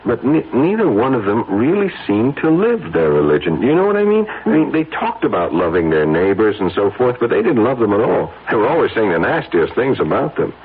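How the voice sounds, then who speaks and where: A man's voice: mid-range voice, wildly swooping pitch, a little fast, few pauses, slightly rough, heavily acted. An actor playing an anxious, brooding businessman in a radio drama, speaking earnestly and hesitantly about his uneasy conscience. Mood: troubled, reflective. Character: guilt-ridden, anxious businessman confessing a troubled conscience. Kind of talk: old-time radio drama acting. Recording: narrow, tinny radio recording, faint noise.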